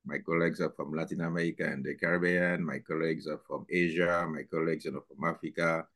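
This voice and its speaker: Very deep-voiced man, halting. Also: fairly even intonation, fairly bright tone, dry recording, studio quality. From Haiti. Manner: halting, many filler pauses. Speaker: regional vice president. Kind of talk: podcast interview.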